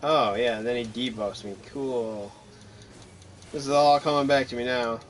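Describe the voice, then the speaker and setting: A man's voice: mid-range voice, normal speed. A man giving casual, wry, mildly sarcastic commentary as he plays through a game. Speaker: gamer narrating own playthrough.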